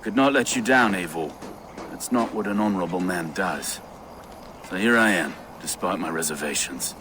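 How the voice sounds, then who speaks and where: An actor playing a gruff, honor-bound male fighter in a video game, grudging and steady. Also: low voice, normal speed, noisy background.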